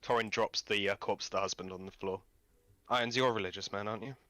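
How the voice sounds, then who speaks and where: A man's voice: fairly low pitch, steady tone, fast, pushed and bright. A man role-playing in character as a player in an online tabletop game.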